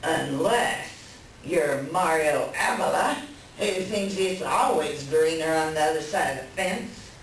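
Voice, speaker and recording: A woman's voice: deep voice, slow pace. A woman playing a world-weary, blunt mother fed up with her husband in a folksy play reading. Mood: jaded, resigned. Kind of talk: staged play reading, conversational dialogue. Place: library reader's theater reading. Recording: echoey room from far off, faint noise.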